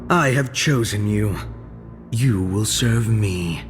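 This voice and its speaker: A proud young shadow assassin speaks in slow, cold and measured lines. The voice sits a little low and sounds haughty. Game music plays behind him. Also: male voice.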